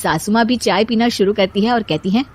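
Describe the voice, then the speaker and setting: A woman's voice: medium pitch, fairly quick, a little mellow. A woman narrating a scripted moral bedtime story as the storyteller.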